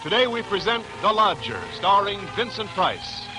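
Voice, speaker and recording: A man announcing formally and emphatically in a showy, measured 1940s radio style. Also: sits high, very animated, poor audio. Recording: old 1940s radio broadcast, music behind.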